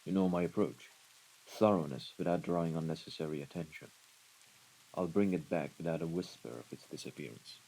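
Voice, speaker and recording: A man playing a composed, menacing mafia right-hand man in an audio roleplay, speaking in a formal, measured way. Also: low-pitched, mellow, poor audio. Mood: calm, deferential. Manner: formal, measured, deliberate wording. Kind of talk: scripted audio roleplay acting. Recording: rain and ambience behind the voice.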